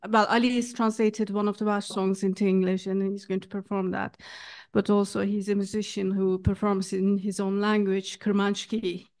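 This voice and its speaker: Hesitant Kurdish woman introducing a musician. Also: mid-range voice. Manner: hesitant, with frequent fillers and restarts. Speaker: event speaker introducing a musician. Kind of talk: informal spoken introduction at a literary event. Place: live public event.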